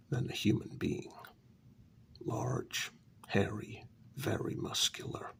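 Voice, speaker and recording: A man playing a grave, gravelly half-whispering asylum staffer. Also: lowish voice, fairly bright tone, exaggerated voice. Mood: troubled, hushed. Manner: slow, halting, deliberate short phrases with long pauses. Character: grave, troubled male asylum staffer recounting a dark case. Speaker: storyteller in an ASMR role-play. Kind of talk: soft-spoken ASMR role-play storytelling.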